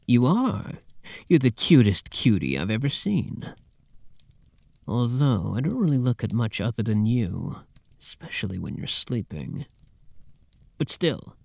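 A man's voice: medium pitch, creaky, no room sound, full character voice. A man playing a possessive, doting, dominant master and boyfriend in an intimate, teasing ASMR roleplay. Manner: teasing, soft, intimate, commanding, at times whispering.

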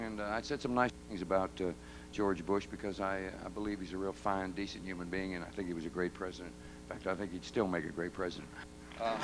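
A man's voice: fairly low pitch, fairly bright tone, slight background noise, poor audio. Folksy middle-aged man telling stage stories. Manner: folksy, rambling storyteller with quick chuckles. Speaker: country singer. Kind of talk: stage banter between songs. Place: large theater stage. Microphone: stand mic, guitar slung. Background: live band music.

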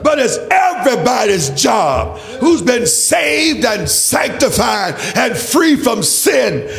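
Male preacher, impassioned and declamatory, slow delivery with big melodic swings, church sermon. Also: extremely high pitch, big pitch swings, creaky, a bit bright. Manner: declamatory, emphatic, repetitive rhetorical phrasing. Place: large church. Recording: reverberant hall, faint music.

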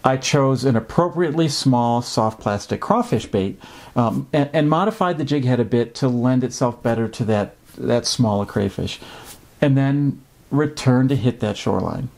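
A man in his fifties, hosting a fishing video, explains his tackle choices in a measured, thoughtful way with frequent ums and uhs, filmed indoors at a desk. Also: mid-range voice, vocal fry, darkish tone. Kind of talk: instructional fishing talk. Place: indoor home office.

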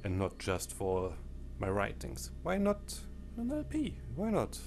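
A man whose first language is German, talking over a game menu in halting phrases that break off mid-sentence. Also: fairly low pitch, wildly swooping pitch, darkish tone. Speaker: let's play commentator. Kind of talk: game commentary with disclaimers. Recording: faint game menu music behind.

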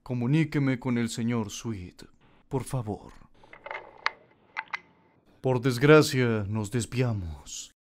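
A cold cartoon assassin cat played by a man, speaking at a medium pitch. He is formal, fussy and deadpan, with a Latin American accent. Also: takes it slowly, pauses often, noisy background, lightly acted. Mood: cold, controlled. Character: cold, fastidious male hitman cat. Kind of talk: animated fan dub acting.

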